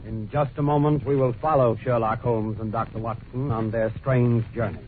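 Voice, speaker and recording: A man announcing a 1940s radio commercial in a deliberate, emphatic, formal manner. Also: mid-range voice, steady tone, over loud music. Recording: old narrowband radio recording with noise.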